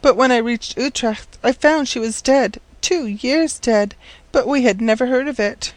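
A man's voice: very high voice, measured pace, no echo, voice pushed up. A man voicing a forlorn, pleading teenage orphan girl in a storybook, earnest, plaintive and formally polite.

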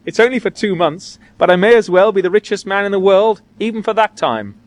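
A wry young man, read by a male narrator. He is offhand and cool with a mock-mournful air, in an animated storybook delivery with plenty of rise and fall. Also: sits high, a little fast, no echo, voice pushed up.

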